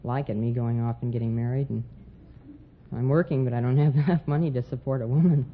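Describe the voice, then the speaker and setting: A man's voice: medium pitch, fairly even intonation, fast. A sixteen-year-old young man from Los Angeles, politely answering a host's questions as a student contestant on an old radio show. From Los Angeles, United States. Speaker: high school student contestant. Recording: muffled vintage radio recording, faint hiss.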